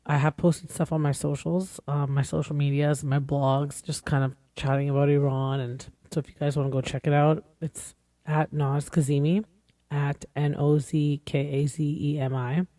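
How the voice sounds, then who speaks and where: A woman in her forties talks straight into the mic in a loose, rambling podcast monologue. Her tone is earnest and conversational, with a warm, mellow voice. The sound is dry, with no room echo. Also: deep voice, level tone, normal speed. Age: 40s. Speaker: podcast host.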